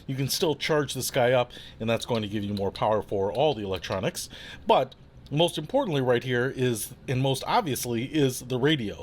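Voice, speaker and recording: An upbeat man talks through a gadget review in a studio, playful and showy in his delivery. His voice sits at an ordinary pitch for a man, with a faint hiss of noise behind him. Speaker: product reviewer and channel host. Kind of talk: casual product review.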